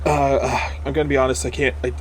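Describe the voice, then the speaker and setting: A man's voice: mid-range voice, level tone, fast talker, a little hiss or hum, low-quality recording. A man playing a tabletop game, improvising in-character talk in a casual, profane way.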